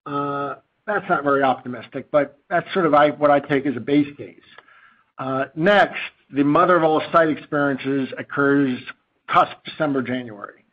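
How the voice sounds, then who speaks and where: A man speaking as a company chief executive on an earnings call, unscripted and informal, with a halting, digressive delivery. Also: a little high. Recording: dull lo-fi conference call audio.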